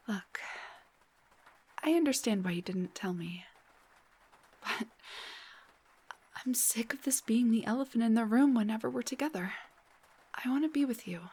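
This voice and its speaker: A woman playing a playful, teasing young rock singer in an intimate roleplay audio drama, speaking softly and breathily, often near a whisper. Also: a little high, husky. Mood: earnest, tender.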